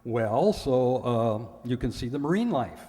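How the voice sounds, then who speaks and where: A man's voice: mid-range voice, very animated, warm tone. A man playing an earnest, literal-minded ship's purser who gives hesitant answers as a radio quiz contestant. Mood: matter-of-fact. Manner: hesitant, halting, plainly literal. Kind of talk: recreated old-time radio quiz show. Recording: echoey hall with long reverb.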